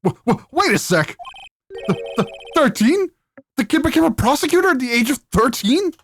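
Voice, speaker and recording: A man's voice: very high voice, very animated, normal speed, a bit bright, faint music behind, pitched above the performer's own voice, full character voice. A man voicing an earnest young defense lawyer from a video game, wry in his asides and easily flustered. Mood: startled. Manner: dry inner asides, flustered stammers when startled.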